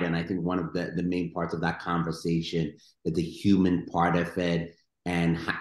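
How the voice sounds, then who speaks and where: A middle-aged man talks with lively energy as a panel guest, his voice sitting very low. The webcam recording picks up a roomy echo around him. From Ethiopia. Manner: energetic, gesturing with his hands. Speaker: guest entrepreneur and investor. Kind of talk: webinar panel answer. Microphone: video call webcam.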